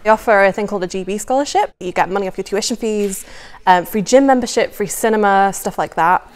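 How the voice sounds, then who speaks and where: A woman's voice: mid-range voice, quick pace, clean tone, in a large hall. Young woman, recent graduate from England, gushing, casual interview, indoor lounge. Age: young adult. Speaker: student.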